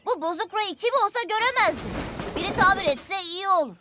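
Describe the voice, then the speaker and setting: A woman voicing a cheeky, boastful young male steam engine in an emphatic, excitable cartoon dub style. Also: extremely high pitch, a little fast, background noise. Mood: stubborn, boastful. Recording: music under the dialogue.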